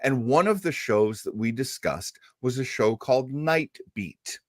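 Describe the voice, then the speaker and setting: A man hosting a radio show in casual chat, talking in a rambling, halting way. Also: medium pitch, animated, no room sound, clean studio sound. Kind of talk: casual chat introducing old radio shows.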